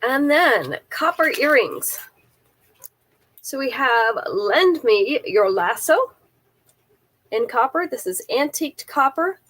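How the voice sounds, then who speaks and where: Woman, slightly high voice, stuffy nose, chatty live seller, detailed descriptions, close camera mic. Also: very animated, takes it slowly, long pauses, fairly bright tone. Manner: chatty, careful item-by-item descriptions.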